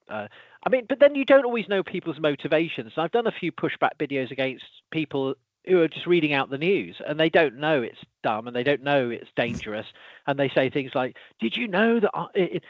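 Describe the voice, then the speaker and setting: A man hosting a casual conversation, who talks in an animated way, breaks off and restarts often, and slips in exasperated asides. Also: a little high, a little fast, no room sound, dull audio. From lives in Wales. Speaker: podcast host, archaeology professor.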